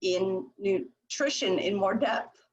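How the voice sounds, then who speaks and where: A woman hosting a video call interview, talking in a relaxed, reflective way full of fillers. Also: mid-range voice, takes it slowly, highs cut off. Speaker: coach, host.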